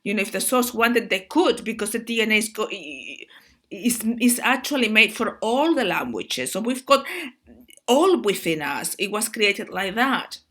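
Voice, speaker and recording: A woman with a Spanish accent talks to her viewers in an excitable, rambling monologue, calling them guys and often restarting her words. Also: mid-range voice, moderate pace. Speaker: spiritual video host.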